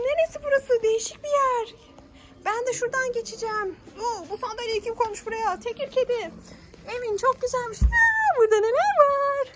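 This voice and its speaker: A woman's voice: very high-pitched, heavy background noise. A woman hosting a children's play vlog as a mother, coaxing the kids and talking to viewers with exclamations and many questions. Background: music.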